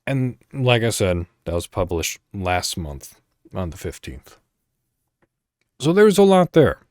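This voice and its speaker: A man's voice: fairly low pitch, wildly swooping pitch, slow pace, a little mellow, no room sound, studio quality. A man hosting a podcast, reading articles aloud with casual commentary, occasionally stumbling over words and correcting himself. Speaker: podcast host.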